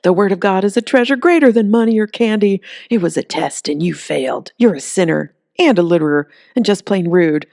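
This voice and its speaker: A woman's voice: medium pitch, lively intonation, brisk, hardly any pauses, darkish tone, no room sound, studio quality, pitched above the performer's own voice, natural voice. Woman playing a preachy fire-and-brimstone zealot. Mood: accusing. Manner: preachy, declaiming. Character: sanctimonious, fire-and-brimstone church zealot woman, villainous scold.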